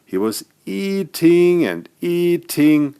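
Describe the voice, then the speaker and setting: A man narrating a scripted bedtime story for small children as its author, in an expressive storytelling manner. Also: a little high, takes it slowly, smooth. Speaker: author narrating own stories.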